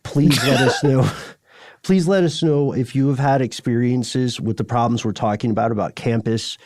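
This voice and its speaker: A man's voice: medium pitch, normal speed, a little mellow, dry recording. A man co-hosting a podcast in a conversational style, with articulate, slightly wordy phrasing. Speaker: podcast co-host.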